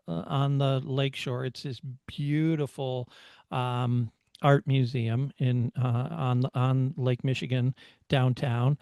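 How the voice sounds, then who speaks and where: A man talking casually as a podcast host, often halting and restarting his sentences. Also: mid-range voice, vocal fry, mellow, no echo, studio quality. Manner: halting, false starts. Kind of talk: casual chat.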